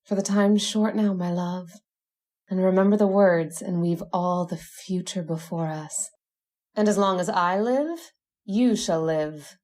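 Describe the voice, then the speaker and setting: A woman's voice: lowish voice, unhurried, a little mellow, close to an everyday voice. Seductive, commanding witch, played by an actress. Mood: tender, ominous. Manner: coaxing, imperious, teasing. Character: seductive, commanding immortal witch bride, eerily coy. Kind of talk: old-time radio horror acting.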